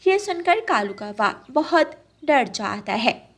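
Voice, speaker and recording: A woman storyteller reads a scripted cartoon tale for children. Her voice sits high, and she tells it as narration. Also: very animated, takes it slowly.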